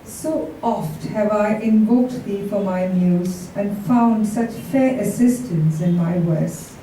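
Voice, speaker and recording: A woman reading poetry aloud with short casual introductions, in a deliberate, drawn-out delivery. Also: mid-range voice, mellow. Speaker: poetry reader. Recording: echoey room, distant mic, faint noise.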